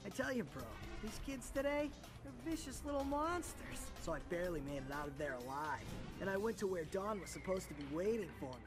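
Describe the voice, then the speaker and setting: A man playing an excitable teenage turtle in a video game, talking in a slangy, laid-back surfer-dude drawl. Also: high-pitched, fairly quick, music in the background. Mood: excitable, playful. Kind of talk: video game cutscene voice acting.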